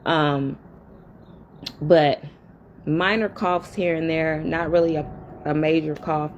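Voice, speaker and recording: Woman, casual vlog chat to viewers, slightly low voice, at home upstairs. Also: steady tone, takes it slowly, muffled recording, slight background noise. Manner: chatty, addresses viewers directly and often. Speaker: vlogger. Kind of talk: casual talk to camera. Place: upstairs room at home with a fan running.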